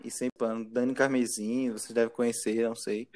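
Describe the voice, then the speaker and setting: A man's voice: medium pitch, little pitch movement, moderate pace, a little mellow. A man hosting a casual podcast chat, laughing often and trailing off mid-sentence. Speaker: podcast host.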